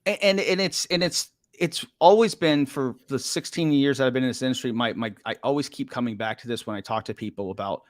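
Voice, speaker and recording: A middle-aged man hosts a podcast interview in a dry, close-up sound. His voice sits at a middle pitch, and he asks long rambling questions full of restarts. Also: a little fast, few pauses, no room sound. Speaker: podcast host. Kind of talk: casual interview talk.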